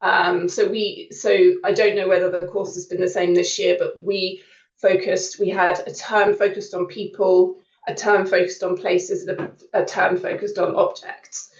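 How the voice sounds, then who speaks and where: A woman's voice: lowish voice, level tone, moderate pace. Woman student speaking from an echoey room. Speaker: creative writing student on a panel. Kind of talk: informal testimonial talk at a virtual open day. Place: indoor room on a video call. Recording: roomy, distant, slightly dull online call.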